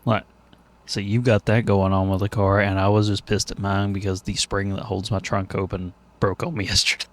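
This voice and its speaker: Low-voiced man hosting a joking podcast. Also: level tone, normal speed. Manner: jokey, profane banter. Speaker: podcast host. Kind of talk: casual chat.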